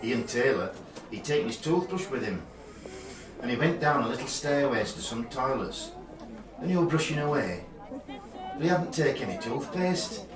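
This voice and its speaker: A man's voice: mid-range voice, lively intonation, moderate pace, long pauses, crowd noise, lightly acted. A man acting a northern English rugby fan in a radio play, recalling things in a rambling, anecdotal way. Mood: reminiscing. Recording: echoey, distant, noisy background.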